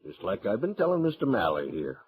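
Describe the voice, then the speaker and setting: A man's voice: lowish voice, wildly swooping pitch, a little fast, narrow, tinny audio, a little hiss or hum. An American man acting as a nervous, flustered townsman who placates the powerful, in an old-time radio western. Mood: pleading, smooth. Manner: fawning, soothing, flustered.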